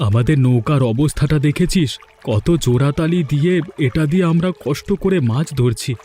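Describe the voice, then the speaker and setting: A man voicing a gruff village fisherman. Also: on the high side, animated, brisk, warm tone, faint music behind, natural voice. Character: adult male fisherman, blunt and short-tempered. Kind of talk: cartoon dub acting.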